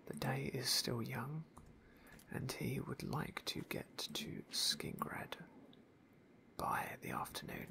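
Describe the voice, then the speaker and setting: A man narrating a game playthrough in a soft, wry ASMR whisper. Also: mid-range voice, fairly even intonation, slow, gravelly. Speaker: ASMR gaming host.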